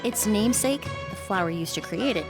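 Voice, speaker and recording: A woman voicing a composed young perfumer in a video game, speaking in a measured, explanatory way. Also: medium pitch, animated, natural voice. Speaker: perfumer with medical knowledge. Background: faint game music.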